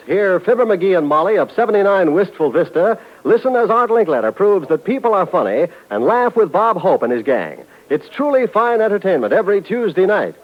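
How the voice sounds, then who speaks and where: An American man reading a scripted network promo in old-time radio announcer style. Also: high voice. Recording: muffled old radio recording.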